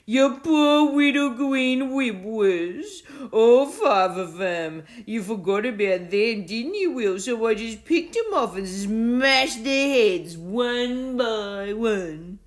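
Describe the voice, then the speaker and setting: A woman voicing a schoolboy bully who taunts slowly and savours every threat. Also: fairly high pitch, lively intonation, pitched above the performer's own voice. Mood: gloating. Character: gloating bully schoolboy who relishes violence.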